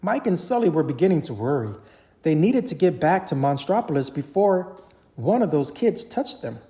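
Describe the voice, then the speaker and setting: A parent narrates a children's storybook aloud. The delivery is animated, with pitch that swings freely through each sentence. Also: normal speed.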